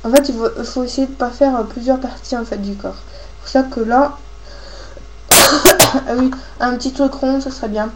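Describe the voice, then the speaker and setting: High-voiced woman with a cold. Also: steady tone. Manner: informal, halting, thinking aloud. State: has a cold, coughing. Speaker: craft tutorial host. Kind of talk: casual hands-on tutorial, talking while working. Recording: clearly noisy homemade recording with clicks.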